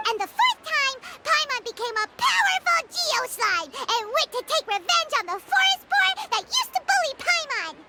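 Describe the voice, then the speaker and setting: A woman voicing a small, excitable, childlike female fairy companion in a video game, perky and emphatic, speaking of herself by name. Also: very high voice, moderate pace, hardly any pauses, loud music behind the voice. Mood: dramatic storytelling. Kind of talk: animated video game voice acting.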